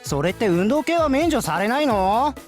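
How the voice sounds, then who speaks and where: A performer voicing a cheeky, flippant high school boy in a visual novel. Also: sing-song, fast talker, faint music behind, close to an everyday voice. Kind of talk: Japanese visual novel voice acting.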